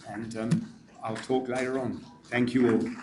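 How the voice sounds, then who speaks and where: South African man, flat low-key delivery. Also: noisy background. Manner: measured, with frequent ums. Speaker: conference speaker, program manager. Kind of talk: formal welcome remarks.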